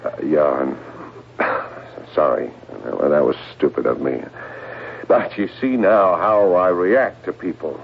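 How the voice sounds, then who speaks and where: A man's voice: very deep voice, takes it slowly, creaky, exaggerated voice. An actor playing an edgy, evasive stockbroker in his thirties in a radio drama, hesitant and defensive. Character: edgy, evasive 37-year-old husband and stockbroker. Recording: muffled, noisy old radio broadcast.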